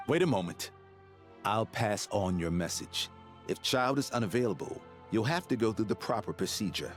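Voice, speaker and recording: Deep-voiced, officious guard played by a man. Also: animated, normal speed, faint music behind, close to an everyday voice. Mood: dismissive, formal. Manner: formal, bureaucratic phrasing. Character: officious, weary male gatekeeper guard, curt and put-upon. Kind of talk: video game character acting.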